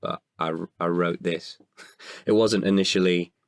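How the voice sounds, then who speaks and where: A man, an actor, talking reflectively about himself in an interview, haltingly and with pauses mid-sentence. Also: low-pitched, fairly even intonation, no echo. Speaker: actor and guest writer. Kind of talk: reflective personal interview talk.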